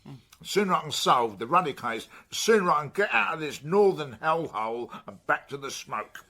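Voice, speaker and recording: A lazy, sarcastic London police chief for a radio detective play. His gruff voice is husky and forceful, jumping about in pitch as he grumbles bluntly and scornfully. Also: very animated, moderate pace, full character voice. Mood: grumpy, scornful. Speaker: actor in a radio detective drama. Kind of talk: radio drama acting.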